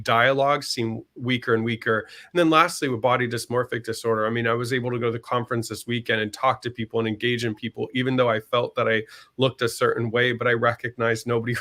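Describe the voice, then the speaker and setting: A man's voice: mid-range voice, gravelly, fairly bright tone. Earnest talkative man co-hosting a stream. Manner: earnest, talkative, open about personal experience. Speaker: co-host. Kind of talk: casual live-stream chat.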